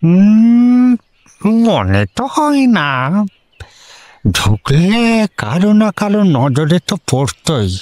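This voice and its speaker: A man's voice: high voice, very animated, a little mellow, no room sound, heavily acted. An actor playing a simple, rambling, deferential village man in a comic radio drama, with a rural West Bengal accent and a hesitant, roundabout manner. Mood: matter-of-fact. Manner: roundabout, hesitant, drawn-out rustic talk. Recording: music behind the voice.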